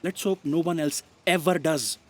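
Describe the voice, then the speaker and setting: A woman's voice: sits low, fairly even intonation, normal speed. A woman playing a sardonic, put-upon office aide in a comic sci-fi audio drama, sarcastic and exasperated.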